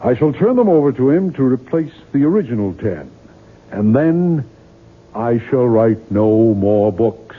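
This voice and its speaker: A man's voice: mid-range voice, very animated, unhurried, husky. Curt, weary male novelist on tinny old radio. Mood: dry, dismissive. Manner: curt, dismissive, insistent. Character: weary, bitter male novelist haunted by his own creation. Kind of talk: 1970s radio drama acting. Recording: muffled, noisy 1970s radio broadcast recording.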